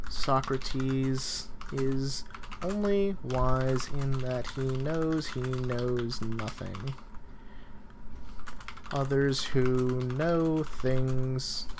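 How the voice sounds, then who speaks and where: A man hosting a casual read-along study group, talking haltingly as he thinks aloud and takes notes. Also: mid-range voice, noisy background.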